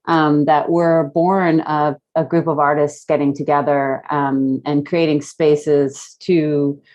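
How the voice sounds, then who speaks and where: A woman's voice: low voice, flat delivery, takes it slowly, darkish tone, highs cut off. A middle-aged woman telling stories casually as a guest in an online talk, reflective and hesitant as she feels her way along. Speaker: guest speaker, gallery curator.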